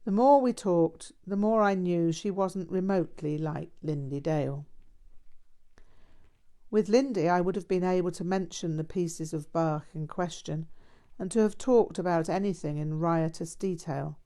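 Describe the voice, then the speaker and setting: A woman reading a memoir aloud as scripted narration, in a measured, deliberate manner. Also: on the low side, mellow. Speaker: audiobook reader.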